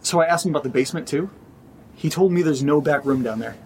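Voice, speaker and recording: A male actor as a curious young housemate in a horror audio drama, slightly high in voice. He talks casually and wryly, quick to defend himself, over clear background noise. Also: darkish tone, lightly acted. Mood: intrigued. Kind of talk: naturalistic audio drama dialogue.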